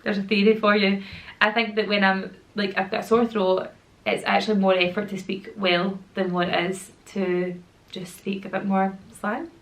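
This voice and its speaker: A woman in her thirties with a Glaswegian Scottish accent talks through a casual question-and-answer chat at home. Her voice sits at an ordinary middle pitch, a little rough from a sore throat. She rambles happily and slips into slang. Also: level tone, moderate pace, rough recording. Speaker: YouTuber and mum. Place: at home with children nearby.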